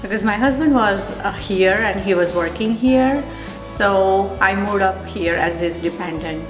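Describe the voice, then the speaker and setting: A woman's voice: medium pitch, unhurried. Indian-accented woman, echoey room with music. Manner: hesitant, many fillers and restarts. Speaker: interviewee, physical therapist. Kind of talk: conversational interview.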